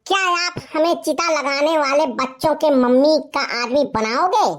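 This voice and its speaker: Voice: high-pitched, moderate pace, close to an everyday voice. A performer voicing a boastful young village slacker in a comic cartoon story, with a rustic eastern Uttar Pradesh Awadhi accent.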